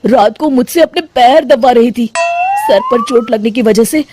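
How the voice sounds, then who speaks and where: A woman's voice: a little high, huge pitch swings, normal speed, noisy background. A woman voicing a harried, submissive young husband in a cartoon story, flustered and complaining in a theatrical way. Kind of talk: Hindi cartoon moral story acting.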